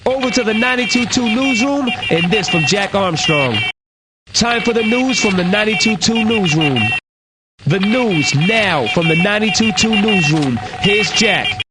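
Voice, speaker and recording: High-pitched male voice, cocky hip-hop radio DJ, punchy slang, playful, music behind. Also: animated, moderate pace. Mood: teasing.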